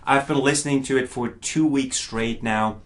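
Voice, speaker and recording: A middle-aged man who did not grow up speaking English talks through an album review in a loose, casual way. He is eager and keeps tripping over repeated words as he goes. His voice sits at an ordinary middle pitch. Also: steady tone, moderate pace.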